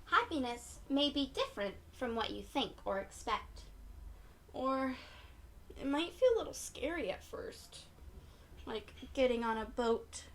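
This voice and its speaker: Slow, high-voiced woman reading to children. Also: animated, slight background noise. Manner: gentle, encouraging, addresses children directly with questions. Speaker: children's read-aloud storyteller. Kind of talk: expressive picture-book read-aloud for young children.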